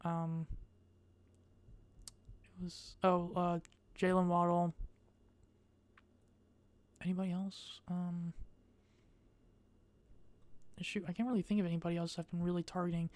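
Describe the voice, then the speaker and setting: A young adult woman vlogging in a casual, rambling way. She speaks in a monotone and hesitates often, with many fillers. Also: on the low side, measured pace, long pauses.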